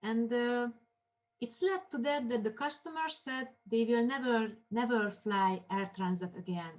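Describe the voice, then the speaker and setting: A woman from Armenia lectures on marketing cases, her voice sitting a little high. Her English is halting, full of restarts and fillers. She keeps a steady classroom pace.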